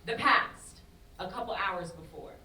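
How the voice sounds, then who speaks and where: Bright-voiced woman reading stage directions. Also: mid-range voice, a little slow. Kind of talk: scripted narration at a staged reading. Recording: roomy, distant, faint noise.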